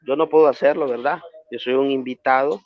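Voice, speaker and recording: A man's voice: mid-range voice, fairly even intonation. A man from Nicaragua with a Nicaraguan accent moderating an online debate, speaking formally with frequent hesitations. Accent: Nicaraguan Spanish. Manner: measured, formal, many hesitations. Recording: dull lo-fi online stream audio.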